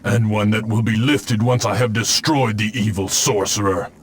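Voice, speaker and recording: An actor voicing a vengeful undead ninja specter, stern, menacing and formal, in a video game cutscene. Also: male voice, on the low side, fairly even intonation, normal speed, lightly acted. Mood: grim, menacing. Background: music.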